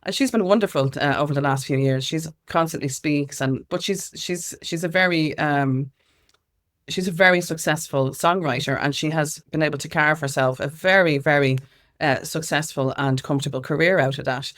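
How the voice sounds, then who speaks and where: A woman's voice: low voice, moderate pace, fairly bright tone. Emphatic middle-aged Irish woman in conversation. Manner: emphatic, repeats phrases for weight. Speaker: interview guest, music consultant and activist. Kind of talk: conversational interview, explaining.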